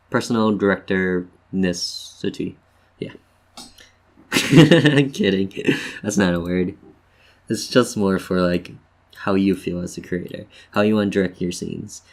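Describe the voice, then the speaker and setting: A man hosting a tutorial in a casual, playful way, talking viewers through each step as if chatting with them. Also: deep voice. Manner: playful, jokey, addresses viewers as "you guys". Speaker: tutorial host. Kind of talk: casual instructional screen-share narration.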